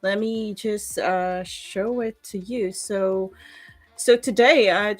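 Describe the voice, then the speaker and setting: A woman in her thirties from the Philippines, talking on a video call through a headset, friendly, laughing often and pausing with "uh". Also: mid-range voice, steady tone, faint music behind. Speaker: guest speaker, software engineer. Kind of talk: casual video-call interview. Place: home room with plants behind.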